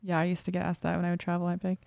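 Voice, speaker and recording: Female interviewer, low and a bit gravelly, asks long trailing questions. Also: monotone, rapid-fire, no echo, clean studio sound. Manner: long rambling questions, soft trailing endings. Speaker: podcast host interviewer. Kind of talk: warm conversational interviewing.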